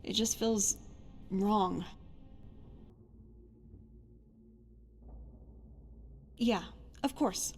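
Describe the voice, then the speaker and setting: A woman's voice: fairly high pitch, a little mellow. A woman playing a tough, sardonic but caring adventuring companion in a fantasy video game. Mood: sad, uneasy. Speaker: voiced follower in a game mod.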